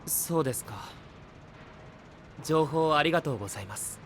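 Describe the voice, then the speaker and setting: A man voicing a calm, level-headed young male knight in an anime voice drama, speaking politely and leading the group. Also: fairly high pitch, moderate pace, slight background noise. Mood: steady.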